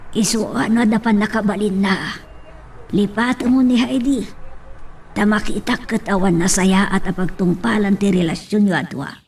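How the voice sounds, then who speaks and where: A woman's voice: mid-range voice, steady tone, normal speed, a little mellow, a little hiss or hum, natural voice. A woman playing a protective, resigned middle-aged mother in an audio drama, motherly and cautioning. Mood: worried, cautioning.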